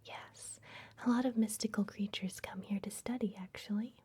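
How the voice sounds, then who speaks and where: A woman playing a secretive, soothing vampire librarian in a fantasy ASMR roleplay, speaking in a soft, breathy whisper close to the microphone. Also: mid-range voice, fairly even intonation. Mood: secretive, welcoming. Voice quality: breathy, mostly unvoiced whisper. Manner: soft, gentle, unhurried, confiding.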